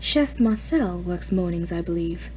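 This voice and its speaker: A woman voicing a poised woman executive who speaks calmly and softly. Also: fairly low pitch, unhurried, a little hiss or hum.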